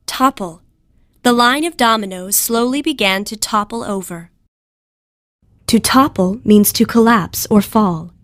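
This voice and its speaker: A woman with a somewhat high voice narrates a vocabulary lesson. She reads words and their definitions in a scripted, educational way. The sound is dry, with little room in it. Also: measured pace, a bit bright, dry recording.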